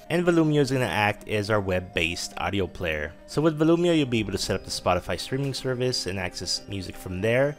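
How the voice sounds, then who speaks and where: A man in his thirties with a low-pitched voice hosts a tech tutorial. He guides the listener through each step in an instructional way. Music plays faintly behind his narration. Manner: instructional, repeatedly directing the listener. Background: background music.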